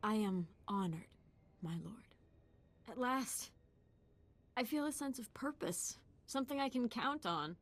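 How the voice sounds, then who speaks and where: A woman's voice: fairly high pitch, animated, measured pace, long pauses. An actress playing an intense, idealistic young female Jedi apprentice in a video game, with a troubled, earnest and emotional delivery. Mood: resolved. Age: young adult.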